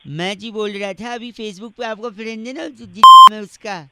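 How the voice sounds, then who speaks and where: A man's voice: high voice, normal speed, heavy background noise. A man making prank phone calls, mock-polite and teasing, laughing at his own jokes. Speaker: prank caller.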